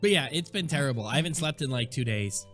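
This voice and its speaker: A man with a mid-pitched voice plays along in a game roleplay, sounding tired and nervous. His pitch still swings widely, and faint music plays in the background. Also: lively intonation, fast, a bit bright. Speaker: roleplay player. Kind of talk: Minecraft roleplay banter.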